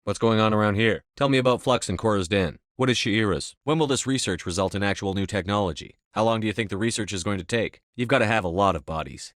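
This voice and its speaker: A man's voice: fairly low pitch, fast talker, few pauses, fairly bright tone, no echo, clean studio sound, natural voice. A man playing a commanding, no-nonsense military officer in a video game, speaking in a terse, direct, authoritative way. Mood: curious, businesslike. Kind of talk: scripted game dialogue.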